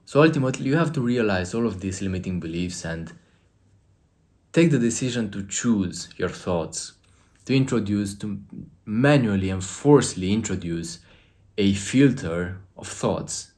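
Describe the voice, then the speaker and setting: Man, Romanian accent, very low voice, earnest coach, emphatic self-help talk to camera. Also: animated, normal speed, a little mellow.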